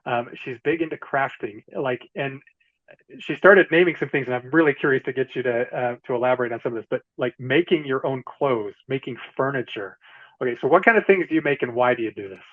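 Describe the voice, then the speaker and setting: A man hosting an interview, asking questions in a friendly, halting way with many fillers over a video call. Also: mid-range voice. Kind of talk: casual interview. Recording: tinny phone-like call audio.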